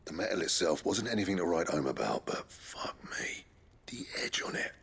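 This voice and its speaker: A grudging fantasy-game blacksmith with a British accent. The voice is deep, heavily creaking and very hoarse-edged, with a blunt, grumbling delivery. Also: male voice, expressive, normal speed, fairly bright tone, in a whisper, full character voice. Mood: preoccupied. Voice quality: very gravelly, husky, rough. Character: gruff, grudging, foul-mouthed master blacksmith. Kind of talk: video game voice acting.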